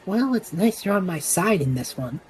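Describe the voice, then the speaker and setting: Woman playing a low, flippant, animated young man. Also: normal speed, a little hiss or hum. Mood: casual, amused. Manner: flippant, wry, theatrical. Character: impulsive, chatty extrovert young male traveller, showman. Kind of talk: audio drama acting.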